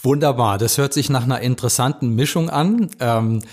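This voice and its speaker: A man's voice: medium pitch, a little fast, darkish tone. A man interviewing a guest, asking friendly, fluent questions with a few fillers. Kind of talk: casual interview.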